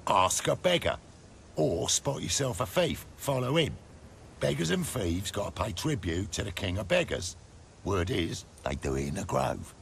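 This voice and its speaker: An actor playing a scruffy street looter in a fantasy video game, sly and cocky, with slangy talk and a gravelly voice. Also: male voice, fairly low pitch, sing-song, normal speed, fairly bright tone, slight background noise, full character voice. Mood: sly, knowing.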